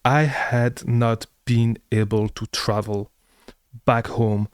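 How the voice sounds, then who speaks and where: A man's voice: mid-range voice, steady tone, slow pace, clear, resonant tone, no echo, clean studio sound. A young man from Belgium talking casually to camera from his family home, often restarting and repeating words as he tells a story. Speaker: online business creator. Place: family house indoors.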